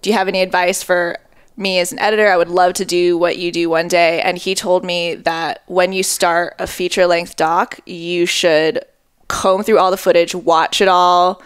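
A woman's voice: fairly low pitch, flat delivery, normal speed, clean tone, no room sound. A young woman in her twenties, a film editor, talking casually in a podcast interview on a headset mic, with plenty of "like" and "um" and a dry sense of humor. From born in Japan, raised in Connecticut, China, Hawaii. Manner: laid-back, many "like" and "um" fillers, dry jokes. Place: small dining room, indoors.

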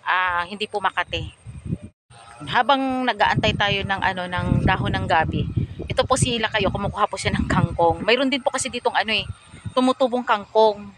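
A woman's voice: mid-range voice, normal speed, background noise. A middle-aged woman narrating a casual walking vlog outdoors in a farm field, talking politely and chattily with frequent fillers into a phone on a selfie stick. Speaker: vlogger.

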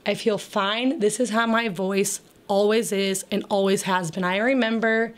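A young woman with a raspy, crackly voice that sits in an ordinary middle pitch. She chats casually and rambles through her day of chores, dropping fillers and small laughs as she goes. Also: fairly even intonation, measured pace. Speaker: homemaking vlogger. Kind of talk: casual voice-over chat.

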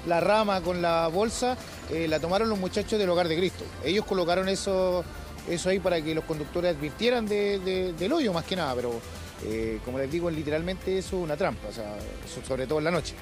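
A man's voice: sits high, a little fast, music in the background. A middle-aged man with a Chilean accent, interviewed outdoors on a city street, talking hesitantly with many fillers. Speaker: interviewed local witness. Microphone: reporter's handheld mic.

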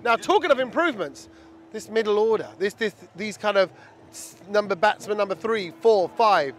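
A middle-aged man working as a fan-channel reporter, chatting in an animated way as he interviews sports fans on a handheld microphone outdoors. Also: high-pitched, moderate pace. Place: outdoors in a busy street outside a stadium.

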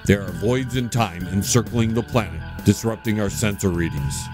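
A man's voice: fairly low pitch, moderate pace, over music, lightly acted. Man playing a precise, detached alien science officer. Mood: detached, analytical. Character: calm, logical male alien science officer. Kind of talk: sci-fi comedy audio drama acting.

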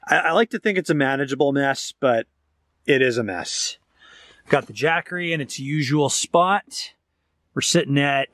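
A man's voice: medium pitch, a bit bright, no echo, studio quality. A man in his thirties chatting casually and ramblingly to a handheld camera, as an outdoor vlogger. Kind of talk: casual outdoor vlog chat. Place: outdoors beside and inside a parked truck. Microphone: handheld camera at arm's length.

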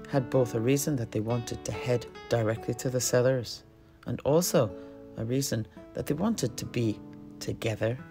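Genial alchemist truthsayer for fantasy audio lore male performer, gravelly edge, exclaiming and hesitant, faint music underneath. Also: medium pitch, darkish tone, lightly acted. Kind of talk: in-character fantasy lore storytelling.

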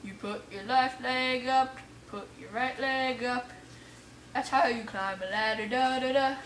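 Female let's player, fairly high and excitable, casual self-talk, echoey room with faint noise. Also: unhurried. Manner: excitable, exclaiming and muttering to self. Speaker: gamer playing an adventure map for viewers. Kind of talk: casual let's play commentary. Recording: roomy echo, distant mic, faint noise.

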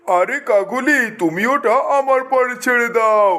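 Man as a high-voiced, wily cartoon crow. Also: normal speed, pushed and bright, clear, resonant tone. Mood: persuasive. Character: wily, confident male crow, a cartoon husband. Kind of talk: children's cartoon voice acting.

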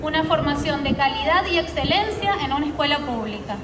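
A woman in her early forties with an Argentine accent, reading a measured, reflective commemorative speech at a podium microphone in a school courtyard. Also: high-pitched, expressive, heavy background noise. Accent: Argentine Spanish. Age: early 40s. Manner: measured, reflective, read from notes. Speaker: alumni speaker. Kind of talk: formal commemorative speech read aloud. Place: outdoor school courtyard ceremony. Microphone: podium microphone filmed from a distant camera in the crowd. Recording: far-off echoey outdoor PA with crowd.

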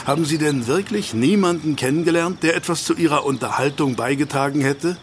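An actor playing a genial, patient doctor and loyal friend in a radio play, amiable and gently teasing. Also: male voice, on the high side, brisk, slight background noise, natural voice. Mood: amused, amiable. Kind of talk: audio drama dialogue and narration.

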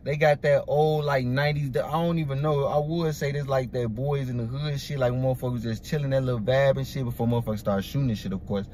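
A young man hosting a music reaction video from a parked car, talking excitedly in profane slang into a phone held at arm's length. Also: medium pitch, fast, few pauses, slight background noise. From Iraq. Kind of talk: casual reaction commentary. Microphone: phone camera at arm's length.

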